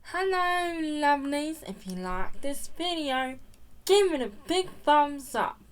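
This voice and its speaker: A woman hosting a children's storytime, reading a picture book aloud in a slow, expressive, sing-song way. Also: high-pitched. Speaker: storytime host. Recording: roomy distant sound with loud music. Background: loud music.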